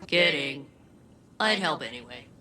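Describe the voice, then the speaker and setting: A woman's voice: extremely low pitch, animated, a little slow, sharp tone, slight background noise, exaggerated voice. A woman playing a casual, playful tech-minded field operative in a video game, informal and wry.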